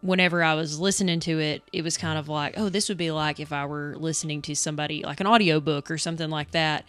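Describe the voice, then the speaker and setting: Low-voiced woman chatting on a podcast. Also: steady tone, fast talker, fairly bright tone, smooth. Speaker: podcast co-host. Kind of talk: casual conversational chat.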